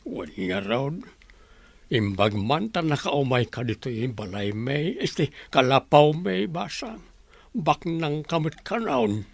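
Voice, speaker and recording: A man's voice: medium pitch, very animated, slow pace, gravelly, slightly rough, no echo, full character voice. A man acting a humble, grateful old villager in a radio drama.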